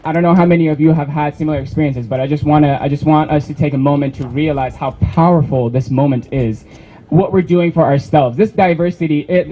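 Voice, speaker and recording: A man's voice: on the high side, mellow. A young man from Iran speaks off the cuff at an outdoor campus rally, hesitant and full of restarts. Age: young adult. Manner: hesitant, many fillers and restarts. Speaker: student rally organizer. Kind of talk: unscripted rally speech. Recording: dull lo-fi outdoor recording, faint noise.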